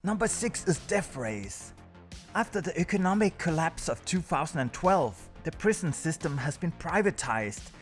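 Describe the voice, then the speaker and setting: Higher-voiced man narrating over music. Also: sing-song, normal speed. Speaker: video host, narrator. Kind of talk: scripted countdown review narration. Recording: music under the voice.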